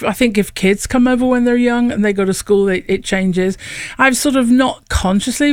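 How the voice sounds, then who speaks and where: A woman's voice: fairly high pitch, no echo. A woman from Lincoln in England with a northern English accent, chatting as an opera singer guest in a radio studio interview, laughing often. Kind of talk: relaxed radio interview chat. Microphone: studio microphone.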